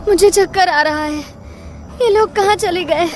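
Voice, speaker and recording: Very high-pitched, frightened young village woman. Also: measured pace. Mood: dazed alarm. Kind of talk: animated horror drama dialogue. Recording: loud dramatic music behind.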